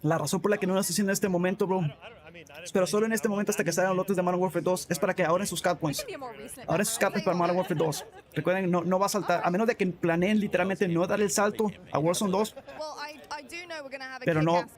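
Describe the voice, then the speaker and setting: A man's voice: high voice, steady tone, quick pace, a crowd in the background. A man streaming live, answering chat in a casual way, calling viewers bro and often restarting his sentences. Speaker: gaming streamer.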